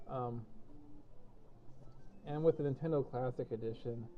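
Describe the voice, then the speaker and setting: Hoarse man rambling over gameplay. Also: mid-range voice, measured pace, soft background music. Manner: rambling, explanatory, self-deprecating, many fillers. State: hoarse from a cold. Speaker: gameplay commentator. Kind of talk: casual game commentary. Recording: tinny phone-like audio with faint hiss.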